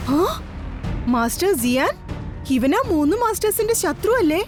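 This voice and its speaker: A woman's voice: high-pitched, sing-song, normal speed, over music. A woman voicing a plucky, clever young boy hero in a cartoon, eager and commanding as he explains things. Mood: suspicious, explaining. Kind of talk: Malayalam cartoon dub acting.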